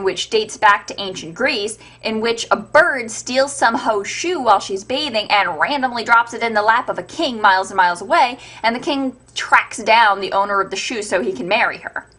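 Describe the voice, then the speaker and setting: A woman's voice: fairly high pitch, fairly bright tone. A woman host giving an irreverent, sarcastic, sweary comedic retelling of fairytales as scripted narration. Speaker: storyteller and web show host.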